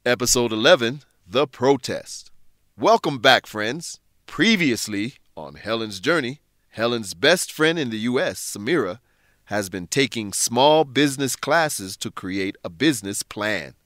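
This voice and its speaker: A man narrating a radio drama for English learners in a measured, clearly enunciated scripted style. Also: medium pitch, animated, a bit bright, no room sound, clean studio sound.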